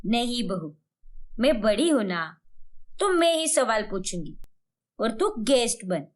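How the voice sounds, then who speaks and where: A woman's voice: fairly high pitch, very animated, slow pace, pitched below the performer's own voice. A woman voicing a scheming, bossy middle-aged mother-in-law in a colloquial, assertive way for a cartoon story. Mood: bossy, chatty.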